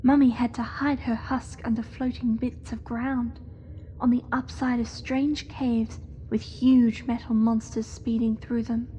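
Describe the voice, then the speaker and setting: A woman plays an eerily innocent young spider creature from a horror audio drama. The voice is high and soft-edged, with very little rise and fall. It speaks in a hushed, measured, devoted way over noisy ambience. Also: level tone, lightly acted. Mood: quiet, devoted.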